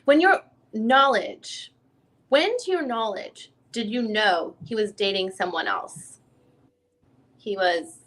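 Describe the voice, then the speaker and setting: A woman's voice: medium pitch, lively intonation, slow pace, a bit bright. A woman playing an informal, probing attorney who questions a witness in a reading of a deposition, often rephrasing as she goes. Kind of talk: dramatic reading of a legal deposition.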